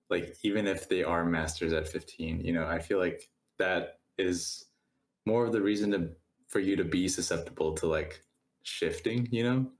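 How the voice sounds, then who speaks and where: Low-voiced man, halting and tentative. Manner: halting, tentative, circling back to rephrase. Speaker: podcast co-host. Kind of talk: casual book review discussion.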